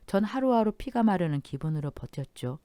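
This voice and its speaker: A woman narrating a dramatic family story in a measured, somber tone. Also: lowish voice, big pitch swings, warm tone, clear, resonant tone, dry recording.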